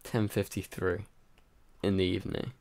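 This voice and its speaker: A man's voice: deep voice, flat delivery, normal speed, darkish tone. A tired man hosting a casual livestream, rambling and chatty with lots of fillers while answering viewer comments. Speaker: livestream host. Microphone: home microphone.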